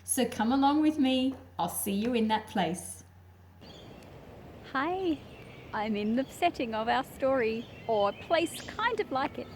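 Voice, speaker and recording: A woman hosting a children's read-aloud, speaking in simple, deliberate phrases as if straight to young listeners. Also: high voice, lively intonation, a little mellow, a little hiss or hum. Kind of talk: slow picture-book read-aloud with friendly asides to young viewers.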